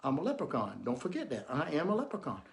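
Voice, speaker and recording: A man's voice: on the high side, wildly swooping pitch, quick pace, roomy sound, voice pushed down. A man voicing a tiny, sly, boastful leprechaun in a comic tale, speaking in an insistent, matter-of-fact way. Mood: smug.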